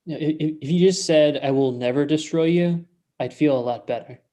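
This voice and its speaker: A man's voice: on the high side, normal speed, warm tone, natural voice. A man in his thirties playing a nervous, lovelorn office temp in a comedy table read, anxious and hesitant. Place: indoor room at home, video call. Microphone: video call, earbuds.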